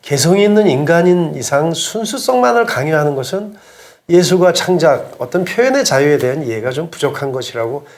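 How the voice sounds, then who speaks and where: A man's voice: on the high side, animated, fairly quick. A man hosting an interview, speaking politely and conversationally with some hesitant phrasing. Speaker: interview host.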